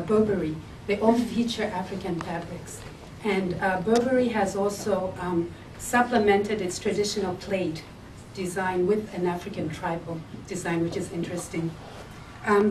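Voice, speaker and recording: A woman from Zambia pitching her business plan, hesitating often with ums and uhs. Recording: echoey room, mic far off, faint hiss.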